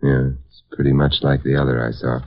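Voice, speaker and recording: An actor playing a suave, wry gentleman detective with a dry, polite manner in a 1950s radio drama. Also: very deep voice, level tone, brisk, no room sound. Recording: dull lo-fi 1950s radio broadcast.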